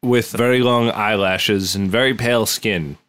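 A man's voice: lowish voice, measured pace, a bit bright. A man co-hosting a casual comedy podcast, making dry, sarcastic quips. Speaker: podcast co-host.

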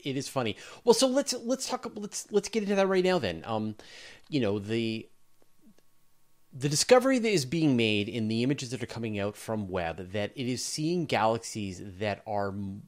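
A man with a fairly low voice acts as the interviewer. He speaks conversationally about science, framing questions for a guest. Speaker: interview host.

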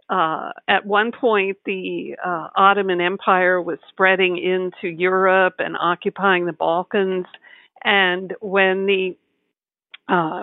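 Lowish-voiced woman on a tinny phone line. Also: no echo. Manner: articulate, measured storytelling in full sentences. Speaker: tax advisor, interview guest. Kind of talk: explanatory interview talk.

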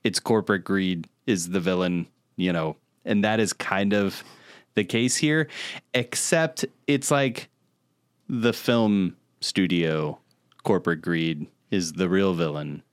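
A man's voice: low-pitched, slow pace, clean tone, dry recording, clean studio sound. A man co-hosting a podcast, chatting casually and critiquing with emphatic, mock exasperation. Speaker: podcast co-host. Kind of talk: casual chat about video game movies.

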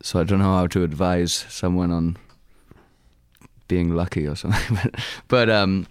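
Soft-spoken young Welsh man, very deep. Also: steady tone, smooth, no room sound, studio quality. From Wales. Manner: soft-spoken, halting, laughs often. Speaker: musician guest. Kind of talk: casual interview chat.

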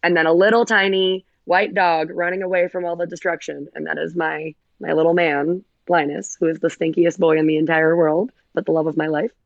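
A raspy-voiced woman, a podcast guest chatting casually, slightly hoarse. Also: on the low side, steady tone, a little fast, few pauses, dry recording, lo-fi sound. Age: 30s. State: recovering from covid, hoarse. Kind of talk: casual chat.

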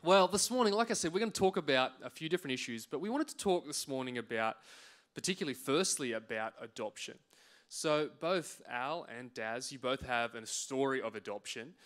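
A man with a mid-pitched voice interviews two guests at a church service. He sets up each question in a friendly, steady way. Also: a little fast.

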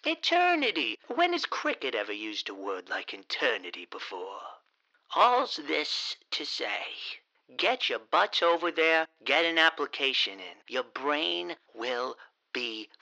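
An actor playing a slick, folksy late-night radio host pitching a sponsor in an audio drama. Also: male voice, huge pitch swings, a little slow, sharp tone, heavily acted. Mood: chummy pitch. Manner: folksy salesman patter.

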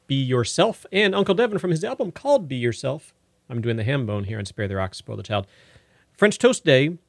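A man's voice: medium pitch, no room sound, clean studio sound. A man in his fifties hosting a radio show, chatting casually and announcing music.

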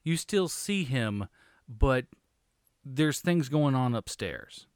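A man's voice: medium pitch, expressive, slow, dry recording, studio quality. A man co-hosting a film podcast, chatty and fond of silly quips. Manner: jokey, throws in silly quips. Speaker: podcast co-host, film reviewer. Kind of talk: casual chat.

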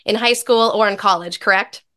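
A woman in her thirties hosting a podcast in a casual, upbeat way, her voice a little raspy from recovering from a lost voice. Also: medium pitch, normal speed, fairly bright tone. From American Midwest. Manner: upbeat, chatty. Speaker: podcast host and dietitian.

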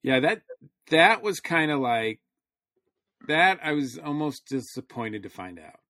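A man's voice: fairly high pitch, animated, a little slow, pushed and bright, no room sound. A man in casual, rambling conversation as a panelist on a review podcast.

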